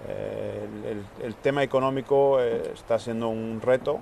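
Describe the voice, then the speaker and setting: A man who coordinates a charity's refugee work answers interview questions earnestly, pausing often with "eh" and repeating words. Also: mid-range voice, fairly even intonation, vocal fry, a little mellow, a little hiss or hum. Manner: earnest, searching for words with many hesitations.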